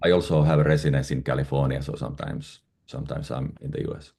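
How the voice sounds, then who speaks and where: Middle-aged finnish guy being interviewed, really deep voice, soft dark tone, finnish accent. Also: fairly even intonation, fast talker, vocal fry, husky. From Finland. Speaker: guest, independent pharmaceutical consultant. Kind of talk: conversational interview answers.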